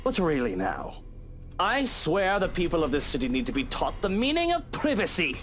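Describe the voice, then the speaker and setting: A man's voice: fairly high pitch, huge pitch swings, normal speed, a bit bright, faint music behind, voice pushed up. A man voicing a pompous, sneering wealthy homeowner with a theatrical, mocking manner. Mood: scornful.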